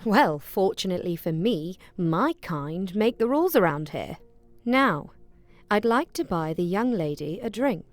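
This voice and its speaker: A woman's voice: medium pitch, very animated, a little slow, no echo. An actress playing a haughty elven noblewoman. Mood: imperious. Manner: imperious, cold, haughty. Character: haughty rich elven lady, cold mine owner.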